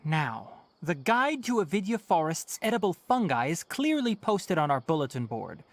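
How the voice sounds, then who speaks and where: A man's voice: on the high side, sing-song, measured pace, no echo, exaggerated voice. A man playing a stern but caring young male forest watcher in a video game, articulate, exasperated and dryly sarcastic.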